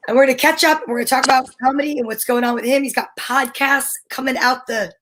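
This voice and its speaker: A woman's voice: high-pitched, steady tone, fast, fairly bright tone. A woman co-host in casual, rambling, joking conversation on a livestream. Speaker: show co-host. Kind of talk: casual chat.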